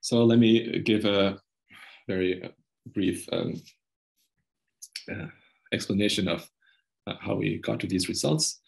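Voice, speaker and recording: A young man presenting research in a technical talk over a video call, explaining in a halting, hesitant way. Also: lowish voice, steady tone, vocal fry, rough recording. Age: young adult.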